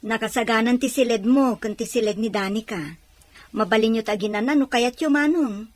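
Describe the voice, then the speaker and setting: Woman playing hospitable auntie in a drama, highish voice, welcoming, eager to help family. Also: brisk, lightly acted. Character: hospitable, family-minded younger sister and aunt. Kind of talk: Ilocano audio drama acting.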